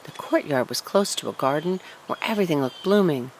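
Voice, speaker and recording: A woman narrating a scripted storybook tale in an expressive, deliberate storytelling manner. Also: low voice, slight background noise, poor audio. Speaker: audiobook narrator.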